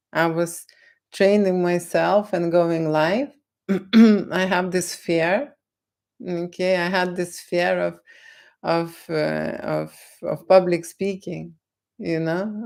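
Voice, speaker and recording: A woman with a Lithuanian accent, not a native English speaker, answering viewers' questions on a livestream in a slow, lecturing way and tagging "okay?" onto her points. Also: on the low side, darkish tone. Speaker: photographer on a livestream. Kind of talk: live Q&A chat with viewers.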